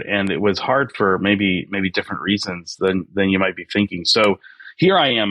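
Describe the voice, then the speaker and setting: A man's voice: low-pitched, a bit bright, no echo. A man in his forties, a podcast guest, talking earnestly with many fillers and restarts. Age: 40s. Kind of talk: reflective interview talk.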